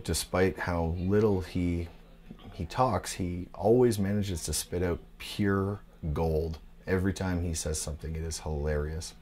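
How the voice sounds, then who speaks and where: A man in his thirties talks for a documentary interview in his tattoo shop. His voice sits very low and stays flat, with little rise or fall. He talks in a laid-back, unhurried way and often pauses on "uh". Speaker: tattoo and piercing shop owner.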